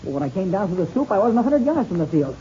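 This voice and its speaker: A man's voice: sits high, big pitch swings, racing, dark tone. An actor playing an eager, plucky American teenage boy flyer in 1930s radio serial style. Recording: muffled, noisy old radio recording.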